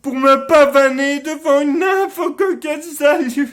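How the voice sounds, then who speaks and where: A bitter, misshapen nobleman schemes in a Shakespearean stage monologue. His speech is extremely slow and deliberate, declaimed with theatrical weight and villainous intent. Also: high voice, a bit bright, full character voice. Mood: anguished, bitter rage. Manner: declamatory, deliberate, dramatic delivery.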